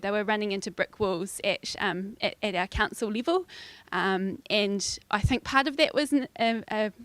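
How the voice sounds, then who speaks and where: A woman's voice: medium pitch, fairly bright tone, no echo. New woman in her twenties. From New Zealand. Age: 20s. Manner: hesitant, laughs easily. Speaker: city councillor. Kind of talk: panel discussion.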